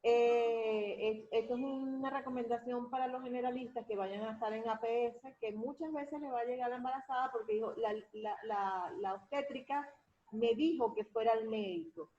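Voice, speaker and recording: A woman's voice: fairly high pitch, moderate pace, warm tone. A woman moderating a casual webinar, hesitant and repeating words as she goes. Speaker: moderator reading audience questions. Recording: dull lo-fi online call audio.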